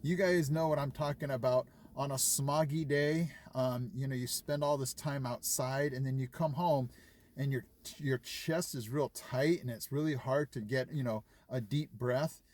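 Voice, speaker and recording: A man's voice: mid-range voice, steady tone, unhurried, darkish tone. A man chatting casually to his viewers, retelling conversations with lots of fillers. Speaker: vlogger. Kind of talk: casual chat to camera.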